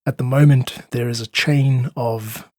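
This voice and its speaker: A man with a slightly rough, husky voice at an ordinary male pitch. He explains things articulately and thoughtfully, as a guest in an interview. The sound is dry and close, with little room to it. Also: steady tone, measured pace, warm tone, dry recording. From South Africa. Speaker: podcast guest, independent musician.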